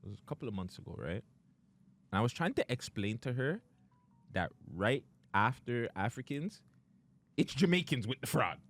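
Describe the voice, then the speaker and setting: A male podcast host whose pitch rises and falls a lot as he talks. He leans on street slang and breaks into laughter while quizzing his guest in a loose, joking way. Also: very animated, normal speed, a bit bright. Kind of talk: casual podcast interview.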